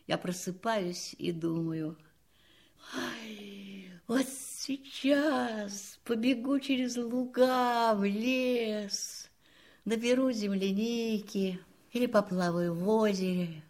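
A woman's voice: mid-range voice, slow pace. An actress playing an elderly spinster lady in a drama, candid and gracious, with a reflective, teasing manner. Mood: wistful. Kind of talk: acted radio-play style dialogue. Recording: music playing behind the voice.

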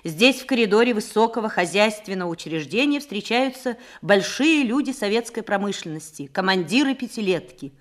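A woman's voice: mid-range voice, lively intonation, normal speed, few pauses. A woman narrating a documentary in a solemn, formal scripted style.

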